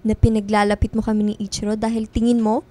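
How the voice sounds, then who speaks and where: A woman's voice: medium pitch, little pitch movement, fast talker, mellow, lightly acted. A woman playing a loyal, guarded young best friend with a blunt, natural delivery in radio drama dialogue. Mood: hurt, confrontational.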